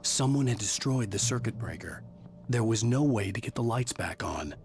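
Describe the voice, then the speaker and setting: A man narrating a horror video game in a measured, brooding voice as the writer lead. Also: fairly low pitch, expressive, over loud music.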